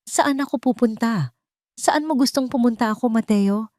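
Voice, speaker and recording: A woman's voice: on the high side, normal speed, a little mellow, no room sound, studio quality, pitched above the performer's own voice, close to an everyday voice. A woman voicing a hurt, angry young wife who accuses and pleads in a Tagalog audio drama. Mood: furious. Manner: accusing, pleading rhetorical questions.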